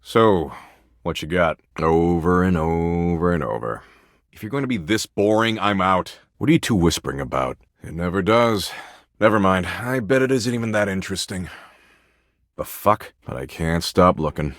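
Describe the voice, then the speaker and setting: Jaded slacker dread spirit, deep voice. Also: male voice, animated, normal speed, dry recording, clean studio sound. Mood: dismissive, bored. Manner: flippant, slangy, laid-back, bored drawl with swooping emphasis. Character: jaded, foul-mouthed slacker embodiment of existential dread. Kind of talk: video game character voice lines.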